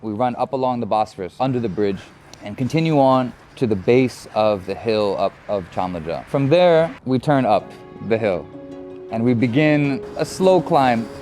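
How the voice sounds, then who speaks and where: An American man speaking reflectively in a documentary interview, measured and thoughtful, often saying "you know". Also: mid-range voice, animated, darkish tone, faint music behind. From United States.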